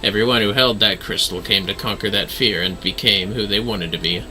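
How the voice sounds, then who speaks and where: Man voicing a bright, theatrical dark knight mentor. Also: fairly low pitch, soft background music, rough recording. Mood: solemn. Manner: solemn, dramatic, deliberate. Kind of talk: reading video game dialogue aloud.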